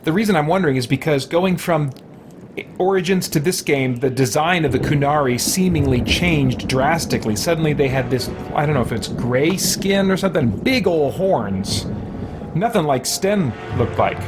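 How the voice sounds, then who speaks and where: A man's voice: fairly high pitch, animated, moderate pace. A man giving casual gameplay commentary as a let's play host, thinking aloud as he goes. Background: game music.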